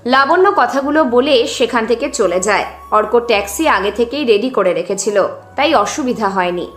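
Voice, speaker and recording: A woman's voice: fairly high pitch, expressive, moderate pace. A woman narrating a scripted dramatic story as its storyteller. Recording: roomy echo with music underneath.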